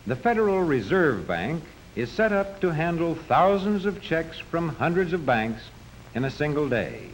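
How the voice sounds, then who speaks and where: A man's voice: fairly high pitch, sing-song, measured pace. A man narrating a 1940s educational film from a script, explaining step by step in a didactic manner. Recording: noisy 1940s film soundtrack.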